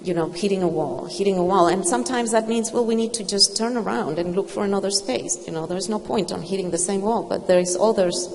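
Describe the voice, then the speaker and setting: A middle-aged woman moderating a panel discussion, asking unhurried, thoughtful questions. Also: mid-range voice, a little mellow, slight background noise. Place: event hall with audience. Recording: reverberant hall with faint ambience.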